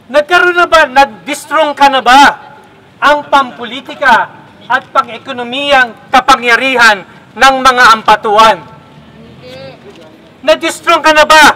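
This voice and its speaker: A man's voice: very high voice, bright, forceful tone, low-quality recording. A man from the Philippines speaking at an outdoor protest, firing pointed rhetorical questions at the crowd.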